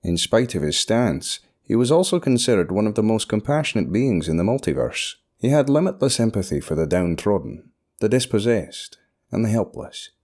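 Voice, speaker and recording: A man's voice: on the low side, very animated, normal speed, a little mellow. A man delivering scripted fantasy lore as a narrator. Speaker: voice actor, narrator.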